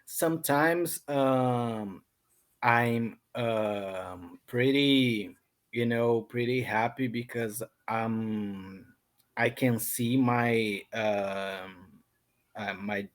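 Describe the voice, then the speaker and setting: Slow hesitant brazilian guy on a video call, lots of ums, accent. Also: mid-range voice. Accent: Brazilian Portuguese. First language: Portuguese. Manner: hesitant, many ums and restarts. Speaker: English learner. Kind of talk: casual online lesson chat.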